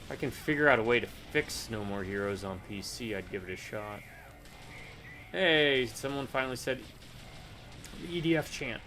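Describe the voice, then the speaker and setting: A man's voice: mid-range voice, expressive, moderate pace, long pauses, bright, forceful tone, slight background noise. A man co-hosting a gaming video, chatting casually and thinking aloud in an offhand, hesitant way. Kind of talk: casual co-op gameplay chat.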